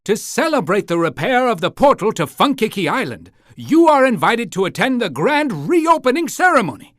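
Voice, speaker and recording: A man's voice: high voice, sing-song, moderate pace, fairly bright tone, no echo, clean studio sound. A man voicing an eager, polite and fussy town-guide creature in a cartoon game, with theatrical announcing and awkward hesitations. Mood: proud, celebratory.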